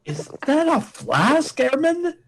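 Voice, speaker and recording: A man playing a pompous, commanding space admiral in a comic radio play, speechifying emphatically in a husky voice. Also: very high-pitched, sing-song, slow pace, background noise, exaggerated voice. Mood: stern, suspicious. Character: blustering, commanding space admiral, male senior officer.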